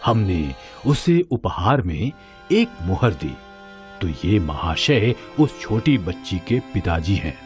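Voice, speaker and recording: A man playing a calm, regal king with a measured, lordly manner in a cartoon moral story. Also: low voice, voice pushed down, full character voice. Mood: lordly, stern. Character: calm, regal adult king in disguise, amused, stern. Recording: music under the voice.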